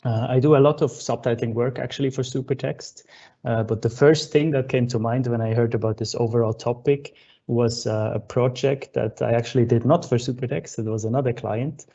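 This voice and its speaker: Gravelly-voiced man giving an online keynote. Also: mid-range voice, steady tone, brisk, mellow. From Switzerland. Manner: friendly, lots of uh fillers. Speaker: keynote speaker. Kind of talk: conference keynote over video call. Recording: dull lo-fi online call audio.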